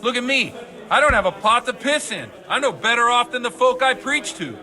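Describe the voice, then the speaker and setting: Game role: boozy rebel priest, high sharp voice, fervent preacher's delivery. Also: male voice, animated, fairly quick, heavily acted. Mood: defensive, worldly. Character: hard-drinking rebellious village priest, zealous and worldly. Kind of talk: video game cutscene acting.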